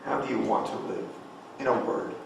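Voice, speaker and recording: A man with a gravelly voice playing a sceptical, accusing friend in a table read of a stage play. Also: medium pitch, normal speed. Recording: echoey room, distant mic, noise.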